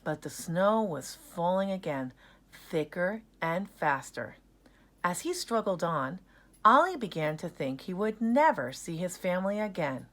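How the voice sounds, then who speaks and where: Expressive, unhurried woman reading a storybook. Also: on the low side. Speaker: storybook narrator. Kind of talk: expressive children's read-aloud narration.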